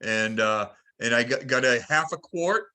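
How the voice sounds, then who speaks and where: Male call host, very bright, forward voice, casual and halting, chairs a group discussion. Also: mid-range voice, expressive. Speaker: host of a club tech conference call.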